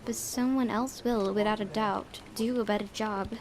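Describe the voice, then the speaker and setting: A woman's voice: medium pitch, fairly even intonation, normal speed, a little hiss or hum. A woman let's player reading visual novel narration aloud, with casual asides and occasional stumbles that she corrects. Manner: stumbles over words and corrects herself.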